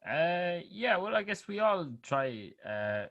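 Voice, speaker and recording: A man with an Irish accent, a band member talking informally on a video call, often trailing off softly. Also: high-pitched, big pitch swings, slow, muffled recording. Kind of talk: casual interview chat.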